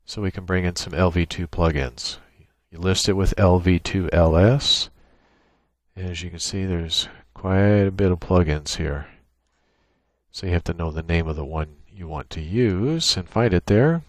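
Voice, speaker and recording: A man presenting an unscripted screen-share software tutorial in a halting, thinking-aloud way. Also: deep voice, no room sound, studio quality.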